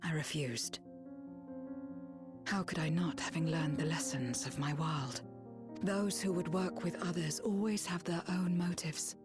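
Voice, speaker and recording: Low, breathy lonely warrior girl. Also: fairly even intonation, music in the background. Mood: somber. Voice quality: breathy, half-whispered. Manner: subdued, reflective, measured. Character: guarded, lonely young woman warrior, solemn. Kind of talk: video game cutscene dialogue.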